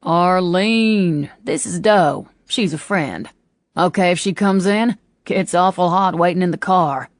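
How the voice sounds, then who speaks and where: Cocky young guy with a southern drawl, teasing, lowish gravelly voice, woman reading him. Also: a little slow, no echo, studio quality. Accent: slight Southern US drawl.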